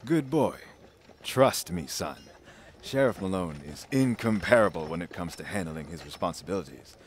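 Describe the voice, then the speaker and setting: A man's voice: sits low, expressive, moderate pace, a little hiss or hum. An actor playing a stern, middle-aged judge and demanding father in an audio play, with a formal, commanding manner. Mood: paternal, insistent.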